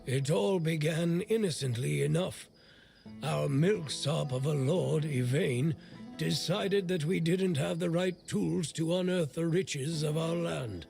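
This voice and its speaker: An actor playing a gruff, irreverent old smith in a video game, with a gravelly voice and a blunt, sardonic way of talking. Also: male voice, a little high, slow, a little mellow, faint music behind. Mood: wry storytelling. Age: older. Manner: blunt, foul-mouthed, sardonic. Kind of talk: video game character dialogue.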